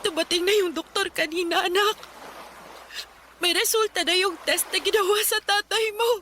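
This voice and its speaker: A woman's voice: very high-pitched, steady tone, moderate pace, sharp tone, a little hiss or hum. An actress playing a caring, harried mother who fusses and reassures in a radio drama. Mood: sorrowful. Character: caring, harried mother of a poor fishing family.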